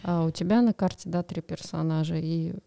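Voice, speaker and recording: Female tarot co-host, lowish dark voice, gravelly, thoughtful. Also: steady tone, a little fast. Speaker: podcast co-host, tarot reader. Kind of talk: casual podcast chat.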